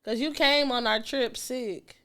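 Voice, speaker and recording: Congested woman co-host, high, bright and crisp, animated casual chat with swearing. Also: unhurried. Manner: animated, swears freely, restarts mid-sentence. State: congested with a cold. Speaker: podcast co-host.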